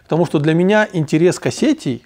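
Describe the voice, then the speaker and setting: Hesitant man, higher than usual. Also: dark tone. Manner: thoughtful, hesitant, frequent pauses and fillers. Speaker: podcast host. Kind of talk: casual conversational podcast.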